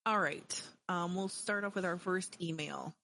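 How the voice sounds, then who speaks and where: A woman's voice: fairly low pitch, unhurried, vocal fry, sharp tone, slight background noise. A woman co-hosting a podcast, reading listener emails aloud with amused asides.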